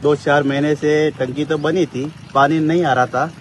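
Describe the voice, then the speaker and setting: A man's voice: on the high side, steady tone, moderate pace. A local man giving a plain, repetitive account to a reporter outdoors. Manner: repetitive, simple phrasing. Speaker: local villager interviewed. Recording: outdoor interview with background noise.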